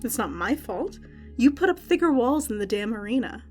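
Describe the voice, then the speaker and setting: A woman playing a cheeky, evasive underground fight announcer in an audio drama, teasing and quick to get defensive. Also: fairly high pitch, big pitch swings. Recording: music under the voice.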